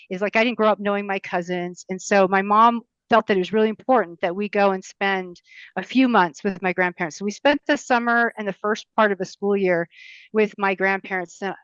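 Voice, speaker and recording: A woman in her fifties with a smooth, resonant voice recounts her upbringing in an interview. She rambles, with frequent ums and restarts. The sound is dry and slightly dull, like a lo-fi recording. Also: mid-range voice, a bit bright, no room sound. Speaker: mayoral candidate guest. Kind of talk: interview life story.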